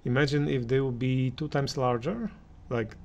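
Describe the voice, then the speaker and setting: A man giving unscripted hi-fi commentary as a reviewer, speaking haltingly with many pauses. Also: mid-range voice, fairly even intonation, darkish tone, slight background noise. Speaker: audio reviewer and channel host. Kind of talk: unscripted opinion commentary on hi-fi gear.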